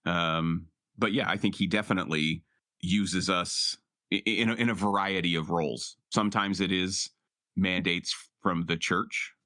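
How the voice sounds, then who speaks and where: A man playing a tabletop role-playing game, explaining his character in a halting, reflective, casual way. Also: sits low, fairly even intonation, sharp tone. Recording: music playing behind the voice. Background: music.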